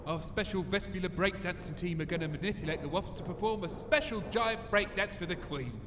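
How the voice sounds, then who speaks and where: A man's voice: sits high, brisk, natural voice. A man playing a dutiful, chatty cult minion in a comic audio drama, colloquial and matter-of-fact. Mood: proud, chatty. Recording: noisy, long cavernous reverb.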